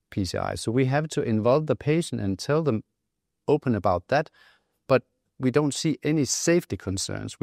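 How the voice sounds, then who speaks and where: A middle-aged man with a Danish accent explains research findings as a physician in a measured, matter-of-fact interview manner. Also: lowish voice, creaky, no echo, clean studio sound. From Denmark. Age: middle-aged, around 60. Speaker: physician and clinical trial investigator. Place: indoor interview set, close dry sound. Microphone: lapel microphone.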